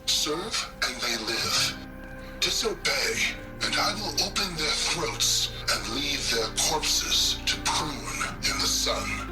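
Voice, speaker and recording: A man playing a cruel, threatening killer in a video game, with a deliberate delivery. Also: on the high side, expressive. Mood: cold threat. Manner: menacing, deliberate. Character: cruel male killer issuing cold threats. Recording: distant voice under loud music.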